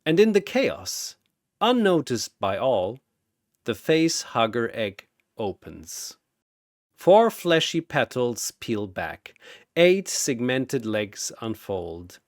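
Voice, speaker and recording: A man's voice: mid-range voice, sing-song, slow pace, dry recording, studio quality. A man delivering dramatic scripted narration as a storyteller, theatrical, with short suspenseful phrases.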